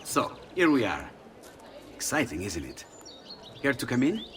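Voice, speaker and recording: A man's voice: mid-range voice, huge pitch swings, unhurried, fairly bright tone, slight background noise. A man playing a friendly, enthusiastic inventor in a video game, eager and courteous, with an Italian accent. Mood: friendly, inviting. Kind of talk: video game character acting.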